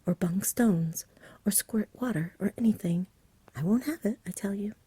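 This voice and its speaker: A woman voicing a courteous, fussy, sociable male dragon in a breathy, whispery ASMR storybook reading. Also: medium pitch, moderate pace, mellow, dry recording, pitched above the performer's own voice. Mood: wary, protesting. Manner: genteel, chatty, fussy.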